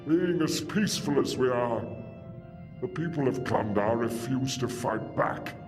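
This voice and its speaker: A man's voice: medium pitch, animated, unhurried, close to an everyday voice. A man playing a grave, ruthless alien warlord in an audio drama, solemn and declamatory, embittered by war. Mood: bitter, angry. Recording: long reverberant hall, faint music.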